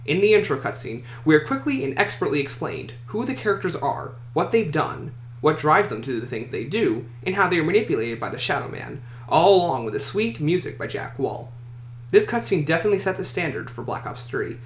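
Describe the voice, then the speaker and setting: A man's voice: on the high side, a bit bright. A man hosting a countdown list video, reading scripted commentary and opinion. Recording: echoey room, faint noise.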